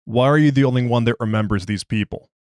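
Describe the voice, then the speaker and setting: A man's voice: medium pitch, fast talker, darkish tone, dry recording, natural voice. A man voicing a skeptical research doctor who questions a prisoner in formal, clipped, dismissive speech. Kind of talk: dramatized interview log.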